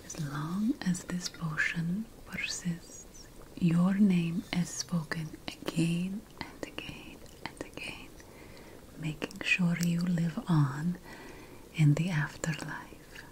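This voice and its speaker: ASMR witch roleplay: woman, unvoiced breathy whisper, very unhurried, playful potion-master air, faint background noise. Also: lowish voice, fairly even intonation, darkish tone, low-quality recording. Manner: slow, deliberate, pausing between phrases.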